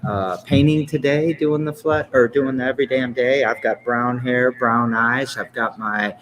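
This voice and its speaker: A man's voice: medium pitch, fairly even intonation, a little slow, a bit bright, a little hiss or hum, rough recording. A man in his fifties from Michigan, hosting a casual interview and rambling through his life story with chuckles and self-corrections. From Lansing, Michigan. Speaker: podcast host.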